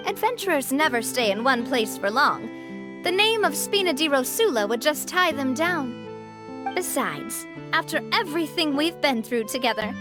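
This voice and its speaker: A woman playing a gracious, upbeat young female boss in a video game, speaking in a polite, friendly and expressive way. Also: high-pitched. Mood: sentimental. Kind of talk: animated video game voice acting. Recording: soft game music behind.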